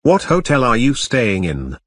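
A man voicing a young cartoon businessman in a slow, scripted language-lesson dialogue, saying each line clearly and twice. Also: mid-range voice, huge pitch swings, fairly bright tone, dry recording, exaggerated voice. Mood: plain.

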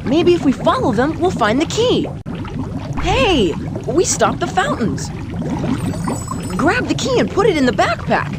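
High, lively cartoon boy adventurer. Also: unhurried, pauses often, loud music behind the voice, exaggerated voice. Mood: excited. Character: sensible, teasing young boy adventurer. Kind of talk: animated cartoon game dialogue.

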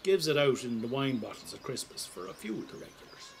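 An actor playing an older Irish pensioner, roguish and callous, telling a rambling, sweary, wry anecdote in a casual dramatic monologue. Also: male voice, mid-range voice, animated, fast, creaky, a little hiss or hum. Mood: wry, grumbling. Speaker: storyteller.

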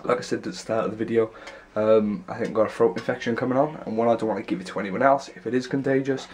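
A man's voice: on the low side, steady tone, fast talker, darkish tone, slight background noise. A young man with a stuffy, congested nose and sore throat, chatting casually in a vlog and rambling in loose run-on sentences, filmed indoors at home on a handheld camera at arm's length. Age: young adult. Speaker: vlogger. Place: small rooms in a house, indoors.

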